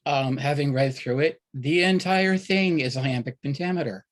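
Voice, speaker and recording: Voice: mid-range voice, moderate pace. Event-series host, mid-range voice, informal delivery of casual announcements.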